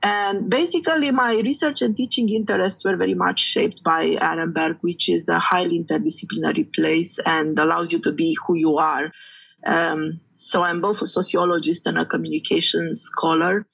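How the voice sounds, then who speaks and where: A woman's voice: lowish voice, steady tone, moderate pace, creaky, husky, highs cut off. A woman in her fifties from Romania, a professor telling her personal story in a thoughtful interview. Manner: thoughtful, storytelling, occasional soft asides. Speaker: professor and guest.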